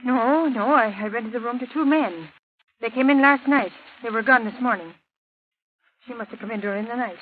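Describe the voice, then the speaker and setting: Rooming-house landlady for radio drama , female actor: flustered, defensive, high-pitched, narrow vintage sound. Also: moderate pace, natural voice. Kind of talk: 1930s radio drama acting. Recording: tinny, noisy 1930s radio recording.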